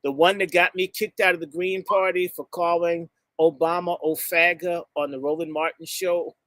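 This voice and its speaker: A man from the United States speaks to a live gathering in a high, bright, cutting voice, close to the mic and dry. He is fiery and blunt, drawing out key words for weight. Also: fairly even intonation, moderate pace, dry recording. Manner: blunt and insulting, jumps between topics. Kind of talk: impassioned speech to a live audience.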